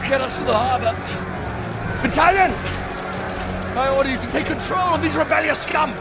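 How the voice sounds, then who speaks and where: An actor playing an arrogant, cruel and petulant son of a Roman emperor in a video game, imperious, theatrical and given to bellowing orders. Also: male voice, extremely high pitch, moderate pace, gravelly. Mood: furious, vengeful. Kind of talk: video game cinematic acting. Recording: loud cinematic music and crowd behind.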